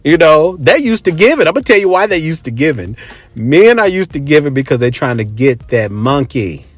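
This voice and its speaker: A man's voice: on the high side, big pitch swings, normal speed. Blunt middle-aged man over music. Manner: blunt, emphatic, conversational. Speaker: host. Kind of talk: casual storytelling monologue.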